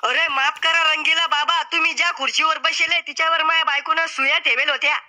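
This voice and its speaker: A man's voice: very high voice, flat delivery, quick pace, sharp tone, exaggerated voice. A man voicing a sarcastic mock game show host in a cartoon, announcing in a mock-formal tone. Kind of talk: cartoon comedy acting.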